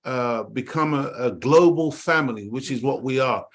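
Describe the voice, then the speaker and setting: A man's voice: mid-range voice, normal speed. A middle-aged man from Jamaica, co-hosting an online talk show and preaching with emphatic repetition. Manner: preaching, emphatic, repeats words, addresses "dear family". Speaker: co-host. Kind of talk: impassioned talk-show monologue. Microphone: online call.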